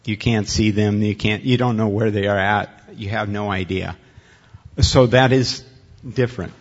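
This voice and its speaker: A man's voice: on the low side, steady tone, moderate pace. A middle-aged or older man, a teacher, explaining conversationally and unscripted on a panel. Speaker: online apologetics teacher on a panel. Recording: muffled recording in reverberant hall.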